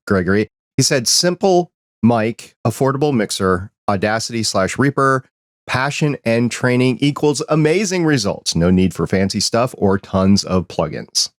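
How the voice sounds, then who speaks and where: A man's voice: mid-range voice, no room sound. A man hosting a podcast interview from his home studio, upbeat and conversational. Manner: upbeat, conversational, reads chat comments. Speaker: podcast host.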